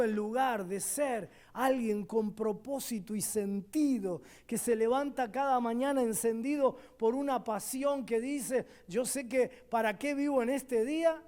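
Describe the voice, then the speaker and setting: An Argentine man preaching at a church service with a very high voice. He takes his time, letting each phrase land, and swings from loud emphatic declarations to quiet asides. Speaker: guest pastor. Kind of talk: sermon.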